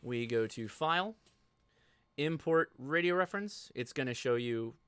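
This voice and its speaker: Bright, crisp-voiced American man hosting a livestream. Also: mid-range voice, expressive, measured pace. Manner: informal, thinking aloud. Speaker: host of a live ham radio show. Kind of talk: casual live software walkthrough to viewers.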